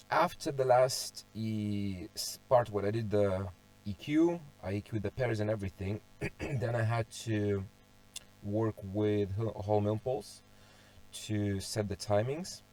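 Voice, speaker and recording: A young man with a fairly low voice talks through his walkthrough at an unhurried, drawn-out pace. He is sick and his voice is going hoarse. He thinks aloud as he goes, restarts phrases and keeps clearing his throat. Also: a little mellow. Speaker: car audio hobbyist presenting a tuning walkthrough. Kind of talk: casual screen-share tutorial.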